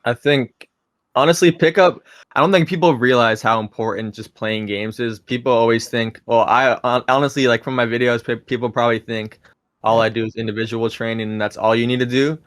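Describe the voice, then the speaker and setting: Relaxed young man, dry close sound. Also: medium pitch, a little fast, dry recording. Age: 20s. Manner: relaxed, rambling, with frequent restarts and fillers. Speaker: guest. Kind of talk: casual podcast chat.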